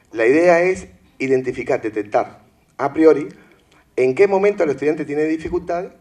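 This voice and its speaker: A man in his fifties from Peru, giving a conference presentation on teaching. He talks in a relaxed way and keeps checking in with "¿no verdad?" and "digamos". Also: mid-range voice. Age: 50s. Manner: filler-heavy, asks "¿no verdad?" often. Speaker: conference speaker. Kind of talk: educational presentation. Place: conference hall.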